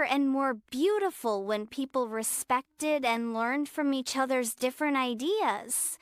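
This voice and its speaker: A woman narrating a children's moral story in a gentle, even storybook manner. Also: high-pitched, dry recording, clean studio sound.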